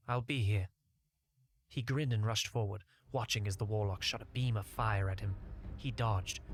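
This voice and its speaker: A man narrating a scripted first-person fantasy short story as an audiobook reader. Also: mid-range voice.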